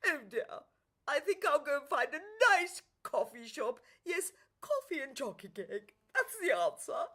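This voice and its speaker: High, fretful royal servant. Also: female voice, big pitch swings, a little slow, a bit bright, full character voice. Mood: self-consoling. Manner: fussy, lamenting. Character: fretful, weepy servant of the prince, easily comforted by cake. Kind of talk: stage musical radio play acting.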